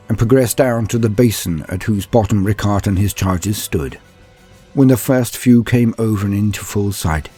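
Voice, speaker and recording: Husky, low-voiced man narrating dramatically. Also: dark tone. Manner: theatrical, measured, with weighty pauses. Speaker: storyteller and channel host. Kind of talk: dramatic scripted story narration. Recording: music behind the voice. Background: background music.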